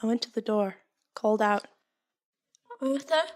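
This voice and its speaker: An actress playing a frail, frightened young Victorian lady, speaking softly and delicately. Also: fairly high pitch, measured pace, close to an everyday voice. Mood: uneasy recounting.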